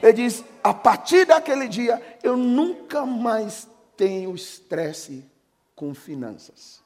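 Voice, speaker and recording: A middle-aged man with a Brazilian Portuguese accent, a pastor giving a sermon with personal testimony. He moves between hushed storytelling and shouted preaching. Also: extremely high pitch, expressive, takes it slowly. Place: church hall. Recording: echoing hall, long reverb.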